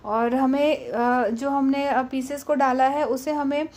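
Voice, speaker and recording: A woman hosting a cooking channel, calmly walking through a recipe step by step in a voice-over, often pausing with "uh". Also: high voice. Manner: patient, explanatory, frequent "uh" pauses.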